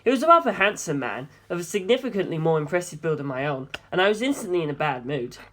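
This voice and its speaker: Animated storyteller reading first-person fiction. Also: medium pitch, fast talker, a little hiss or hum. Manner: expressive, acting out dialogue. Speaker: audiobook narrator.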